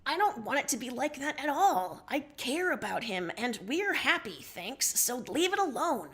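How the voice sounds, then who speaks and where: A woman's voice: high voice, very animated, moderate pace, fairly bright tone, husky. A woman narrating second-person fiction expressively as a livestream story reader.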